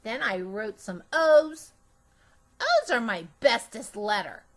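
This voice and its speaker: An imaginative, chatty little girl tells her own storybook tale, acted out by a woman. Her voice is high and very animated, with slow, emphatic, childish phrasing and a bossy streak. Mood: chatty, pleased.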